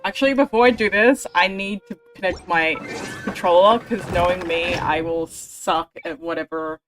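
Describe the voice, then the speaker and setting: A woman's voice: on the low side, moderate pace, a bit bright. A woman livestreaming a video game, chatting casually to herself and her viewers. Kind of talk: casual gaming livestream commentary. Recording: game music behind the voice. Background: video game music.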